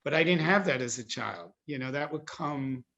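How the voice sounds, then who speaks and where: Higher-voiced American man, thoughtful and halting. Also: lively intonation, vocal fry, a bit bright, highs cut off. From suburban New Jersey, United States. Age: 50s. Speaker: interviewee, Jesuit priest. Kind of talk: reflective interview answers.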